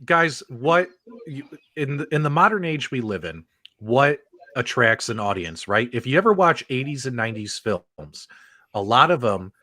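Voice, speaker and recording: A middle-aged man hosts a casual panel chat at a medium pitch. He speaks emphatically and tags his points with little questions. The sound is dry and close. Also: animated, moderate pace, sharp tone, no room sound. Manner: emphatic, prodding listeners with tag questions. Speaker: live stream host.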